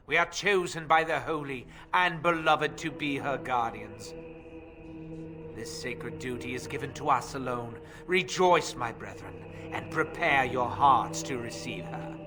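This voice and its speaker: A solemn game cult leader, performed by a man, speaks in a mid-range voice. He is grandiose and polite with menace underneath. Music is clearly heard behind him. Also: medium pitch, close to an everyday voice. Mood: exalted preaching. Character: pious, quietly menacing male cult priest. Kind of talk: video game voice acting.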